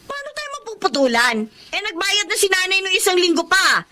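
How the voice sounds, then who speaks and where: An actress playing a feisty, sharp-tongued young breadwinner daughter in a Filipino radio drama, speaking emphatically. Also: female voice, extremely high pitch, sing-song, brisk, background noise. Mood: probing, suspicious.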